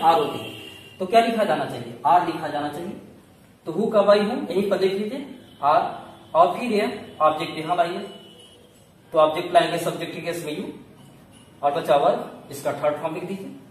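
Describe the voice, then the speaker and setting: A high-voiced man with an Indian accent gives a lesson in a didactic, question-and-answer manner. He explains step by step like a schoolteacher. The sound is very reverberant and distant from the mic. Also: fairly quick. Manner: didactic, asks questions and answers them himself. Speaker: English grammar teacher. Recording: very echoey room, distant mic, faint noise.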